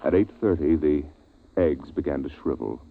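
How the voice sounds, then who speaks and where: An actor narrating a 1950s science-fiction radio drama as a solemn storyteller, with measured phrasing and weighty pauses. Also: male voice, very deep voice. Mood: solemn, dramatic. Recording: tinny vintage radio recording, music behind.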